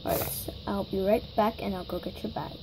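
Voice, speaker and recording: A woman's voice: mid-range voice, fairly even intonation, normal speed, heavy background noise. A girl improvising a toy shopping scene, chatty and bossy as she runs the story. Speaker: toy video maker. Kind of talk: improvised toy play-acting.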